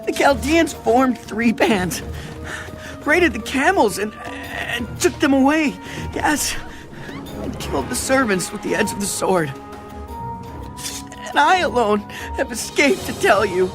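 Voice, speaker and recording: Distraught female messenger in scripture drama. Urgent, dramatic, wildly varied pitch. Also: big pitch swings, slow, music in the background, rough recording. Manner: urgent, alarmed. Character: distraught servant messenger, lone survivor.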